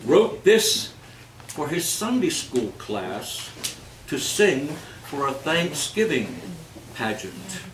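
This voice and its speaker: A man's voice: fairly high pitch, big pitch swings, slow pace, fairly bright tone. Theatrical man hosting in an echoey room. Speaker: host of a community holiday reading and song quiz. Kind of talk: live stage reading and playful audience banter. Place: indoor community event before an audience. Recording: echoey room, distant mic, noisy audience.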